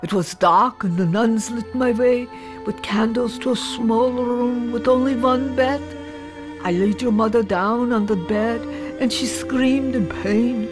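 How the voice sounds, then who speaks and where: A woman playing a weary refugee father who tells his daughter about her birth, hushed and grave, slipping German words into his talk. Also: on the high side, unhurried, natural voice. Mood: tender, grave. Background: music.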